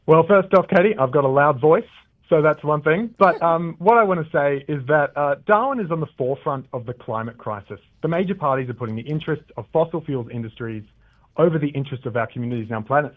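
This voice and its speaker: A man's voice: on the high side, animated, fast. A man, an election candidate, answering interview questions on the radio, stumbling and reading prepared talking points. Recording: tinny phone line.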